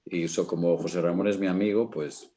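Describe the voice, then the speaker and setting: A man's voice: deep voice, steady tone, fast talker, warm tone, a little hiss or hum. A man with a Spanish accent from Spain, an actor chatting casually in a discussion, colloquial and repeating himself. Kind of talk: casual post-show discussion.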